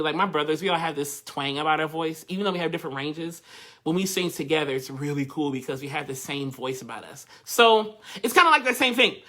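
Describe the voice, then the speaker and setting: A young man with a high, nasal, twangy voice, teaching a live vocal workshop from his living room in a chatty, candid way. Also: pushed and bright. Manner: chatty and candid, addresses the group directly.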